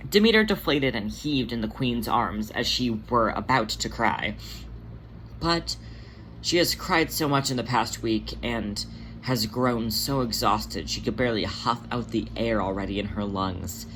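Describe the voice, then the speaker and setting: A narrator reading a fan story aloud in an expressive amateur style. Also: mid-range voice, a little slow, a little hiss or hum, rough recording. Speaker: podfic narrator and channel host.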